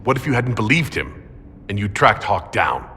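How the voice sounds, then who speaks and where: The level-headed leader of a fantasy order. His voice is fairly low with lively inflection, kindly and direct. A little noise is behind him. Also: normal speed. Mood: reassuring. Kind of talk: video game protagonist acting.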